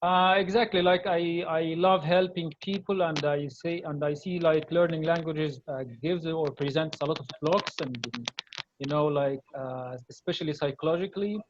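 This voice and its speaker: A man whose first language is Arabic, an English teacher speaking in a casual video-call interview with halting, hesitant phrasing. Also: fairly high pitch, steady tone. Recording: dull, noisy lo-fi call audio with clicks.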